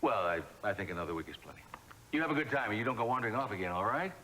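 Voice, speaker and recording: A man's voice: on the low side, expressive, rapid-fire, pushed and bright, a little hiss or hum, low-quality recording. An actor playing a stern father in a soap opera, commanding and cold in manner. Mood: fatherly.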